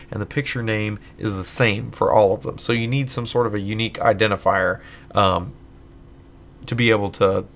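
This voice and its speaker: A man casually walking through code in a screen-recorded tutorial, his voice a little hoarse from a long night of recording, with many fillers as he thinks aloud. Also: on the low side. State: voice getting hoarse late at night. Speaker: tutorial maker.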